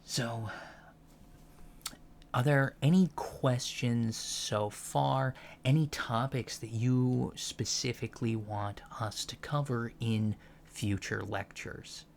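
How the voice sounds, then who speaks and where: A man playing an earnest alien college lecturer in a soft-spoken ASMR roleplay, measured and gently formal with deliberate pauses. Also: mid-range voice, fairly even intonation, gravelly, slight background noise. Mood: earnest, explanatory.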